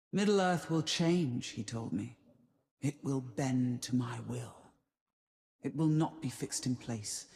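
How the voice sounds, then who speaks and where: An actress playing an ancient spider spirit in a video game, a cold, seductive seer who speaks in portentous, hushed monologue that often sinks toward a whisper. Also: female voice, full character voice. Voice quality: low, hushed, often sinking to breathy whisper. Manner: slow, brooding, portentous, measured. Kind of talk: dramatic scripted game monologue.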